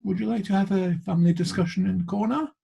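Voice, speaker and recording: A man voicing a regal sloth supreme leader in a storybook, with a posh British accent and a stately, deliberate manner. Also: sits high, steady tone, vocal fry, dark tone, slightly rough, pitched above the performer's own voice, heavily acted.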